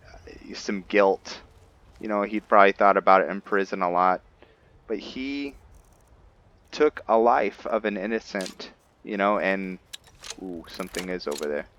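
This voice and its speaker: A man giving casual commentary as he plays a roleplaying game, thinking aloud in a halting way. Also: lowish voice, a bit bright, smooth. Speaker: gameplay host.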